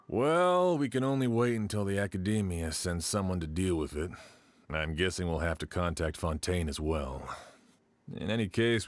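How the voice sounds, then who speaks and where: An actor playing a tired, fair-minded sheriff in a game, sighing and weary. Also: male voice, sits low, a little hiss or hum.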